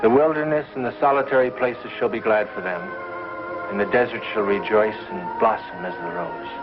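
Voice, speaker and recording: An actor playing a devout, affectionate and persuasive pioneer husband in a 1950s television western. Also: male voice, fairly low pitch, fairly even intonation, normal speed, lightly acted. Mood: fervent, persuasive. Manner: earnest, persuasive. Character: devout, earnest frontier husband and father, affectionate. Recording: muffled 1950s TV soundtrack, music behind.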